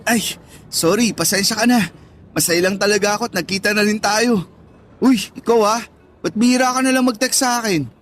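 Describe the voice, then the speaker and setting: Clingy sweet young filipino son in a radio drama, high voice, wears his feelings openly, bit of background noise. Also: brisk, a bit bright. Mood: apologetic, chiding. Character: Jasper, affectionate, needy young grown son and big brother. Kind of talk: radio drama dialogue acting.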